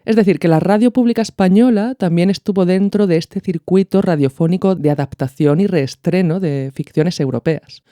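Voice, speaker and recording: A woman's voice: on the low side, normal speed, few pauses, mellow, no echo, studio quality. A woman hosting a podcast, explaining in a conversational, didactic way. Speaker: podcast host. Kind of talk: explanatory podcast commentary on radio art.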